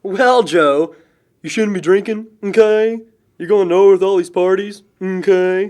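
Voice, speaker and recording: A man's voice: sits high, measured pace, a little mellow. An actor playing a goofy, carefree young man who playfully needles his best friend in a film drama. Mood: needling. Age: young adult. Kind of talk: naturalistic amateur film dialogue.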